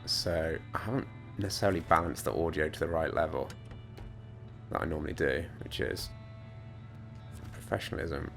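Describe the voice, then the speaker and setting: Croaky, low-voiced British man. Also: normal speed, pauses often, fairly bright tone. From United Kingdom. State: croaky from hay fever. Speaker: gaming let's player. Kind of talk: casual gameplay commentary. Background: video game music and truck engine.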